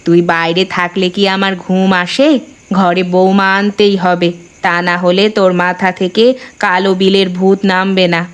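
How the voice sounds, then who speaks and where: A woman voicing a doting, sharp-tongued village mother in a Bengali cartoon, with an expressive, folksy, teasing manner. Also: medium pitch, moderate pace, clean tone, lightly acted. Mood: gently scolding. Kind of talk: Bengali animated moral-tale voice acting. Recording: music bed behind the voice.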